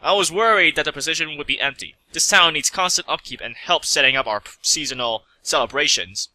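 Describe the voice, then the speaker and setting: A man's voice: fairly high pitch, a little fast, bright, forceful tone, no echo, rough recording. A man voicing a pleasant pony town mayor in a story reading. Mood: pleased.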